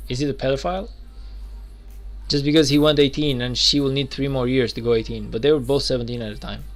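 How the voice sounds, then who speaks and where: A man's voice: medium pitch, steady tone, fast talker, soft background music. A man in his twenties chatting loosely while streaming a game, rambling with lots of fillers and restarts. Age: 20s. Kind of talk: casual live-stream chat.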